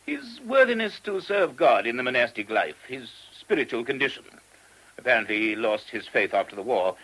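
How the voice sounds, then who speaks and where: An actor playing a courteous, earnest monastery abbot with a British accent and a hesitant, genteel manner in a radio play. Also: male voice, mid-range voice, very animated, brisk, fairly bright tone, low-quality recording. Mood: earnest, explaining. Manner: hesitant, genteel, old-fashioned English turns of phrase. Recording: old radio drama recording.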